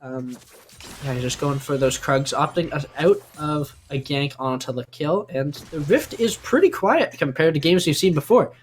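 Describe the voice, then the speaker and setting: A man's voice: on the high side, expressive, moderate pace, slight background noise. A man giving live analytical commentary on an esports match in a conversational, explanatory way.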